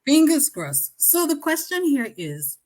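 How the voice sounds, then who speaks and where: A woman's voice: high voice, very animated, unhurried, darkish tone. A woman hosting a casual live online interview, chatty and encouraging with her guest.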